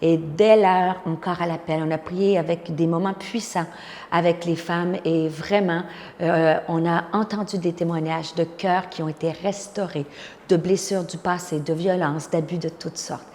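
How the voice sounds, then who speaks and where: Québécois woman, lowish voice, long reverb. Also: fairly even intonation, measured pace, a little mellow. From Quebec, Canada. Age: 50s. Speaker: pastor and conference speaker. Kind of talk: personal testimony with encouragement.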